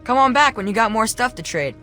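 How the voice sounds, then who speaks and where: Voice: medium pitch, lively intonation, fast talker, music in the background, lightly acted. An actor playing a cocky, scrappy boy shopkeeper in a video game, talking in a casual, slangy, streetwise way. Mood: cocky, curious. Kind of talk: video game character dialogue.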